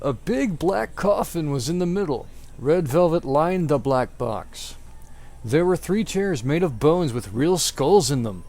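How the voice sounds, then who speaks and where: A man with a fairly high voice reads a story aloud at a very unhurried pace. His delivery is theatrical and exaggerated, as in a mock-dramatic amateur reading. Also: lively intonation, a little mellow. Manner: theatrical, exaggerated delivery, often breaking into giggles. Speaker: amateur reader of fan fiction. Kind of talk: mock-dramatic reading of badly written fiction.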